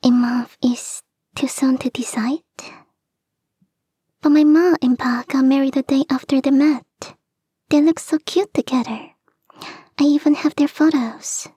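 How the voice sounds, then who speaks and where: A woman voicing a clingy young kitsune fox girl in an intimate ASMR roleplay, soft and breathy, often whispering, coaxing and sweetly insistent, close to the microphone. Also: high-pitched, fairly even intonation, measured pace, pauses often, no room sound. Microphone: close, dry microphone.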